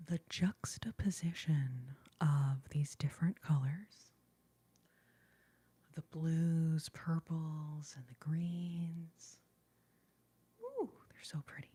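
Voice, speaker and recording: A young woman whispering softly in a chatty, rambling ASMR livestream, reading out viewer comments and giggling now and then, close to the mic. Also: deep voice, slow, long pauses, slightly rough. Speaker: ASMR streamer.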